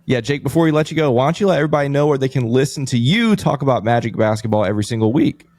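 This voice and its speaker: A man's voice: medium pitch, expressive, very fast, a little mellow, no room sound. An American man chatting casually as co-host of a sports podcast.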